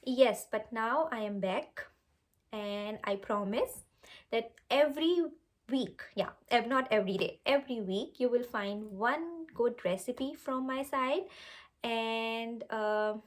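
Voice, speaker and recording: Urdu-accented woman, relaxed vlog talk. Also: fairly high pitch. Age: young adult. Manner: unhurried, with many fillers, switching between English and Urdu. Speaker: cooking channel host. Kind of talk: casual direct-to-camera vlog.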